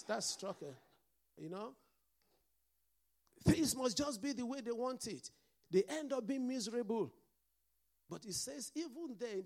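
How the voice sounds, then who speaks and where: A man with a mixed Nigerian and Scottish accent, preaching a sermon as pastor and calling out to the congregation for responses. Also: extremely high pitch.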